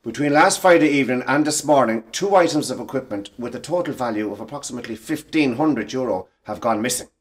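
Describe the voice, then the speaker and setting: Stern, formal boss played by an actor. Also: male voice, medium pitch, close to an everyday voice. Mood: formal, firm. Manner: measured, businesslike, formal. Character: stern, formal company boss addressing his workers. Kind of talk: radio play acting, formal address.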